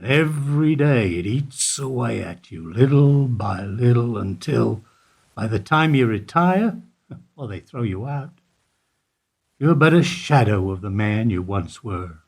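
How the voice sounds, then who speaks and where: An actor as a husky, guarded bank clerk. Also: male voice, mid-range voice, lively intonation, measured pace, exaggerated voice. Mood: weary, bitter. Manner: careful, noncommittal. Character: guarded, world-weary male small-town bank employee. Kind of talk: radio play dialogue.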